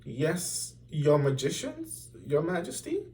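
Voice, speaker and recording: A man's voice: fairly high pitch, wildly swooping pitch, slow, darkish tone, distant mic, voice pushed up, exaggerated voice. A man voicing a timid, respectful young page in a storybook, anxious, deferential and pleading. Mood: fearful pleading. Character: timid, respectful young male page who cautions his king.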